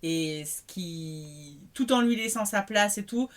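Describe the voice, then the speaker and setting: A woman hosting a podcast, talking and asking questions in an expressive way. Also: fairly low pitch, slow. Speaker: podcast host. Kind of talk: interview conversation.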